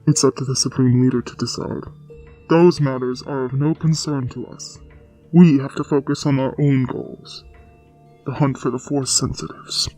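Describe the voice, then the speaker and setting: A man plays a coolly commanding master of dark warriors in a comic dub drama. His voice is mellow and mid-pitched, his delivery measured and aloof with a dismissive edge. Faint music sits behind it. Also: steady tone, lightly acted, rough recording. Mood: calm, guarded.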